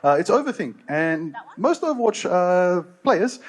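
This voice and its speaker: A man's voice: high-pitched, very animated, measured pace. A man hosting a live convention panel, announcing and hyping up the crowd. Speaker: panel host. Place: convention stage before a crowd.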